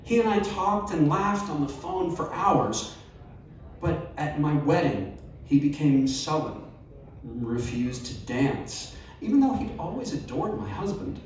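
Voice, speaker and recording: A man reading a personal essay aloud at a live event, measured and expressive with wry emphasis. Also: on the high side. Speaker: story reader. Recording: very echoey live room, distant mic.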